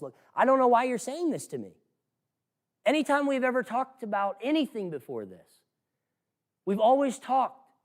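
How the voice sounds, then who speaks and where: A man preaching an expository sermon in an earnest, exhorting manner, emphatic and given to rhetorical questions. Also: a little high. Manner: emphatic, swings from hushed to loud.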